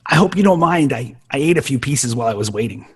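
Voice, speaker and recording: A clingy, lovesick nerdy boy from a sitcom, put on by an adult. The voice is rough-edged and leaps around in pitch, full of melodrama and whiny devotion. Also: lively intonation, fast talker. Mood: smitten, nervous. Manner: melodramatic, swooping between pleading and wailing. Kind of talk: live sitcom table read.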